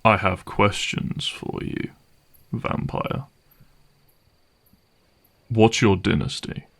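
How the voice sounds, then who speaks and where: A man playing a cynical, menacing vampire hunter in an audio roleplay, speaking deliberately and sardonically with a gravelly voice. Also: fairly low pitch, fairly even intonation, a bit bright, heavily acted. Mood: probing, threatening. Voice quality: gravelly, creaky.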